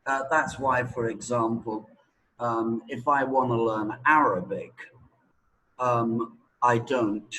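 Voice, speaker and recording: A man's voice: medium pitch, steady tone, takes it slowly, fairly bright tone, rough recording. A man explaining a point as a teacher, in a didactic way with check questions. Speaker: English teacher. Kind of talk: explanatory teaching talk to a learner.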